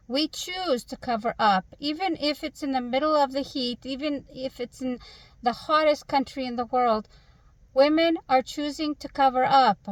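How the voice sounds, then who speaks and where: Earnest woman vlogger, higher voice. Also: no room sound, low-quality recording. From Canada. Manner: earnest, emphatic, rhetorical questions. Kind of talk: direct-to-camera Q&A monologue.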